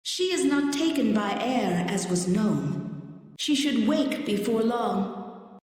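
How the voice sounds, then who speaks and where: A serene female spirit from a fantasy game, stately and formal. The voice is fairly high and very measured, swimming in long hall reverb. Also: big pitch swings, a little mellow. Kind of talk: video game voice acting.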